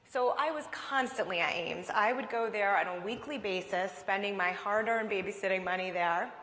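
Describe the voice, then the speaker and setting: A fairly high-voiced woman from Vermont presents at a conference, chatty and anecdotal, leaning on personal stories. Her voice carries a long reverb from the hall around her. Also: a bit bright. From Vermont, USA. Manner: conversational, anecdotal, upbeat. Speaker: conference speaker.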